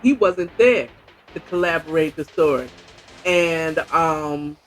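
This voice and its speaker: A woman's voice: deep voice, slow pace, soft background music. A woman with an American accent giving casual book commentary in a conversational way, asking rhetorical questions as she retells the story. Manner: conversational, acts out dialogue, asks rhetorical questions. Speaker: podcast host reviewing a book.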